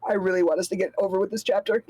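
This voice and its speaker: A woman co-hosting a game stream, chatty and relaxed, thanking viewers and rattling through her intro. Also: medium pitch, very fast, background noise. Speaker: streamer, co-host. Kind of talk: casual game stream chat.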